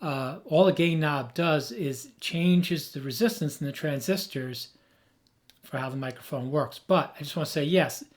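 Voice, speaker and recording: A man who has lost his voice, hoarse, giving an emphatic, rambling off-the-cuff tech explanation as a video host. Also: fairly high pitch, normal speed. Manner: emphatic, rambling, with frequent restarts.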